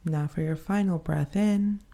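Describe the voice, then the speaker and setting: A woman leading a guided meditation in a soothing, unhurried manner, pausing between short phrases. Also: on the low side, warm tone.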